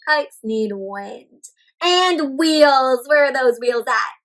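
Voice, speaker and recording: A young woman hosting an interactive picture-book read-aloud for small children, expressive and animated, asking them questions as she goes. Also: sits high, slow pace, soft background music. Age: young adult. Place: indoor room at home with plants and bookshelf.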